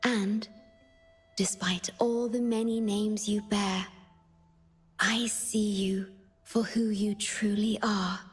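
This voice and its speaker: An actress playing a gentle, devoted young woman guardian in a video game, speaking softly and tenderly in measured scripted dialogue. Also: medium pitch, little pitch movement. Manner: soft, breathy, tender, measured.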